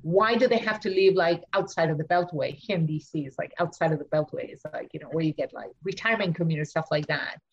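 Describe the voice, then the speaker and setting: A woman's voice: low voice, fast talker, hardly any pauses, husky, highs cut off. A woman from Argentina with an Argentine Spanish accent, an architect being interviewed on a podcast, talking things through with many fillers and restarts. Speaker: architect and author. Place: home studio in Washington DC.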